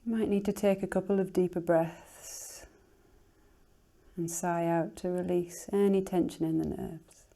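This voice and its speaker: A woman teacher leading a guided relaxation in a soothing, unhurried, gently instructing manner, her soft voice turning breathy at phrase ends. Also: lowish voice, fairly even intonation.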